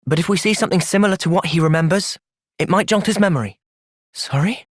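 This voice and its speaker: A man with a British accent playing a thoughtful, gentle young soldier in video game cutscenes, measured and earnest. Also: sits high, slightly rough, dry recording, clean studio sound. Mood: calm, earnest.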